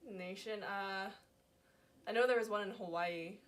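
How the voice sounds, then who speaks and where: A woman's voice: medium pitch, steady tone, measured pace. A young woman from California, a student answering interview questions briefly and tentatively. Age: young adult.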